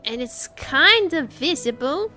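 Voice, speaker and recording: A woman's voice: high-pitched, big pitch swings, slow, bright, forceful tone, faint music behind. A woman chatting casually as she livestreams a game, playfully repeating her phrases to viewers.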